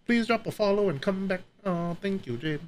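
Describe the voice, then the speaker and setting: A man's voice: high voice, animated, normal speed, slight background noise, low-quality recording. A man chatting casually and jokingly as he streams a game, often playful in the way he talks. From Vietnamese. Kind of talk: casual livestream chat while gaming. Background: game music.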